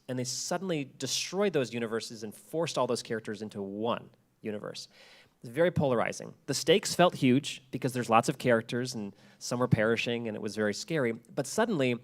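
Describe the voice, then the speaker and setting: A man giving a public talk to an audience, speaking emphatically and repeating key phrases. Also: mid-range voice.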